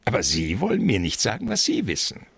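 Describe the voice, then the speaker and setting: An actor playing a smug, scheming gentleman crook in a radio crime drama, with a gravelly, husky voice and a pompous, drawn-out, mockingly courteous manner. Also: fairly low pitch, huge pitch swings, no echo, clean studio sound, full character voice. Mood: teasing, smug.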